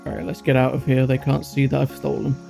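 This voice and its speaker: A man's voice: medium pitch, completely flat delivery, quick pace, vocal fry, mellow. A man giving casual, chatty gameplay commentary, thinking aloud in short bursts as he hosts a gaming video. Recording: music playing behind the voice. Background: background music.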